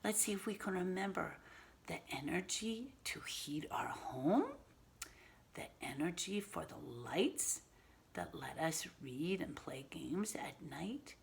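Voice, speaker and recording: A woman reading a picture book aloud to children as a teacher, expressively and patiently, pausing to explain and ask questions. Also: fairly low pitch, animated, gravelly. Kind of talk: animated read-aloud with simple explanatory asides.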